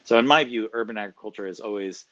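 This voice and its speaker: A man answering interview questions as a professor, explaining at length in a measured way with frequent fillers. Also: fairly low pitch, fairly bright tone, lo-fi sound.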